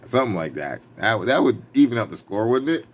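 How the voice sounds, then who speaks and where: A man's voice: lowish voice, sing-song, fairly quick. A man reading a book aloud in an emphatic, playful manner, breaking away for casual, wry asides of his own. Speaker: reader and commentator. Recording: clearly noisy background ambience.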